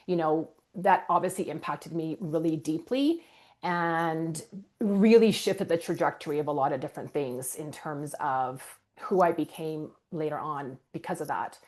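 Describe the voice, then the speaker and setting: Lowish-voiced woman telling her life story. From Canada. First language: Ukrainian. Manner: reflective, earnest. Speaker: guest, life coach. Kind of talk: candid personal storytelling.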